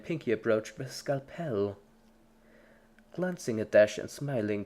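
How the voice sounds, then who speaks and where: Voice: medium pitch, darkish tone. A narrator giving a scripted dramatic reading of a story in the third person. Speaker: fan fiction narrator.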